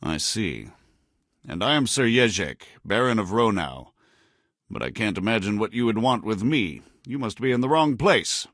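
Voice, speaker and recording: An actor voicing a proud, roguish medieval baron in a video game, with a wry, condescending and formal way of talking. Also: male voice, lowish voice, animated, normal speed, a bit bright, no room sound, studio quality. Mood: wry, defiant. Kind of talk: video game character dialogue.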